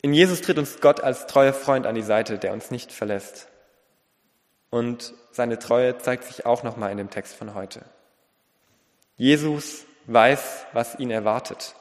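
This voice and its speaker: Guy giving a sermon, bit of a lisp, earnest and measured with pauses, big reverby hall. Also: mid-range voice. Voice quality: occasional slight lisp. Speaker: preacher.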